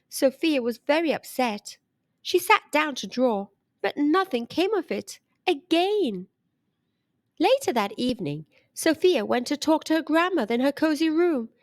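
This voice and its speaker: A woman with a high voice narrates a children's storybook. Her delivery is expressive and lively, swinging widely in pitch. The recording is dry and close, with hardly any room sound. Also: no room sound. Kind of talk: expressive scripted read-aloud storytelling for children.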